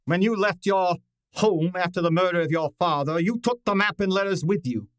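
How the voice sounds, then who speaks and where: A man playing a commanding, fussy detective genius in an old-time radio drama, speaking formally and deliberately with pompous authority. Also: high voice, dry recording, studio quality, natural voice. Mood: imperious.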